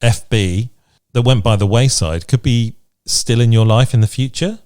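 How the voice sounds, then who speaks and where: A man's voice: mid-range voice, normal speed, darkish tone, clean tone, no echo, studio quality. A man hosting a podcast, chatting casually in a reflective, encouraging way. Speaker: podcast host. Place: remote video chat recording.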